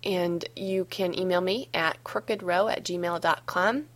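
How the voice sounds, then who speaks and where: A woman with a slightly low voice hosts a solo gardening podcast. A cold has left her a little hoarse. She chats casually and easily, often pausing on an um. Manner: relaxed, chatty, with frequent ums. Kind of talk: solo podcast advice talk.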